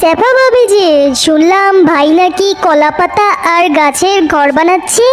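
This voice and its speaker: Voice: extremely high pitch, a little slow, a little hiss or hum. A performer voicing a gossiping, sneering village neighbour woman in a cartoon story. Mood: mocking.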